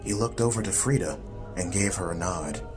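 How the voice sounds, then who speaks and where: Low-voiced male dramatic audiobook narrator. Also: moderate pace, music in the background. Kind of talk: scripted dramatic story narration.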